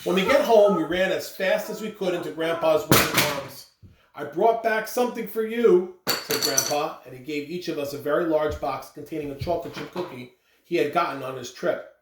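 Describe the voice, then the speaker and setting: A person reading a children's storybook aloud with expressive, animated delivery. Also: mid-range voice, normal speed. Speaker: school staff member reading aloud. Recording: roomy, distant mic with clear background noise.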